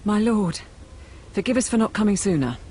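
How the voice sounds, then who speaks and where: An actress playing a pragmatic Imperial military officer in a space opera game, businesslike and dry. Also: female voice, medium pitch, expressive, normal speed, mellow, slightly rough. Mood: respectful, businesslike. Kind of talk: video game cutscene acting.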